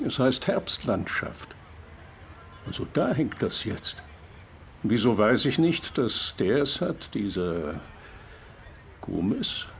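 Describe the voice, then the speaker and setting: Old art critic in a radio play, cultured and fatherly, really lively melody, noise behind him. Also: mid-range voice, slow pace, pauses often. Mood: musing. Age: older. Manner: musing, savoring descriptions, addresses listener paternally. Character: old Cuban art critic, erudite, paternal, enthusiastic connoisseur. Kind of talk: German radio drama acting.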